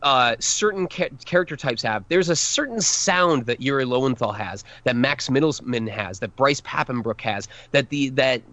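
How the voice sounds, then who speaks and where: A man's voice: mid-range voice, expressive, normal speed, few pauses, fairly bright tone, no echo, lo-fi sound. A man who works as a voice actor, chatting on a podcast, rambling and self-deprecating as he explains casting. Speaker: voice actor guest. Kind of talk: casual podcast chat.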